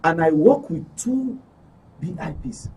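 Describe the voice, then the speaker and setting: A man's voice: fairly high pitch, expressive, unhurried, a little mellow, breathy edge. Northern Nigerian man telling stories, off-mic. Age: 50s. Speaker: panel guest. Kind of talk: personal storytelling in a studio discussion. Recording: distant mic with faint noise.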